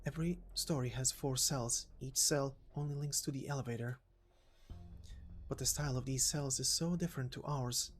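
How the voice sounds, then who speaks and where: A young female inmate in a video game story, voiced by a woman reader. She sounds low, hushed and serious, speaking inwardly with wary resolve. Faint music plays behind her. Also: steady tone, moderate pace. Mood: wary, determined. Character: quiet, wary, determined young woman inmate thinking to herself. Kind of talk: voiced visual novel story reading.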